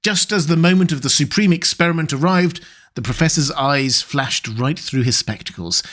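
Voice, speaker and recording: A man reading a classic adventure novel aloud, his voice a touch higher than most men's. He keeps a measured, even pace through the narration. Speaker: audiobook narrator.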